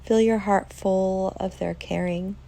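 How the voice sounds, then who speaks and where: A woman leading a guided meditation in a soft, soothing way, leaving long pauses between phrases. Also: mid-range voice, fairly even intonation, gravelly.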